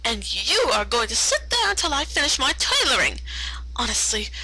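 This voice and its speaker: A woman's voice: high voice, huge pitch swings, moderate pace, bright, forceful tone, pitched above the performer's own voice, exaggerated voice, low-quality recording. A woman voicing a fussy, dramatic, genteel female fashion-designer pony in a theatrical, refined manner for a story reading. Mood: fussy, exasperated. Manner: theatrical, refined, gushing endearments.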